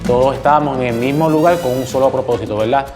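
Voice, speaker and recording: A man's voice: mid-range voice, fast talker. A man talking in a documentary interview. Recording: music playing behind the voice.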